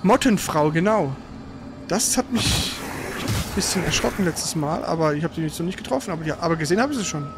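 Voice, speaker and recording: High-pitched man gaming, noisy background. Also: lively intonation, brisk. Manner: exclamatory, swearing, grumbling. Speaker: gaming streamer playing and commenting. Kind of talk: casual live gameplay commentary with a co-player.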